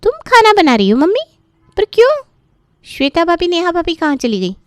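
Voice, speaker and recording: A woman's voice: extremely high pitch, big pitch swings, moderate pace, no echo, studio quality. A woman voicing a chatty grown daughter who fusses over her mother in a cartoon story, full of questions. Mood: surprised. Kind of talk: animated moral story dialogue.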